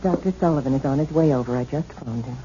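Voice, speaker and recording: An actress playing a composed, confiding sister in a 1970s radio drama, polite, discreet and explanatory. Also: female voice, extremely low pitch, quick pace. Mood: polite, brisk. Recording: muffled, hissy vintage radio broadcast.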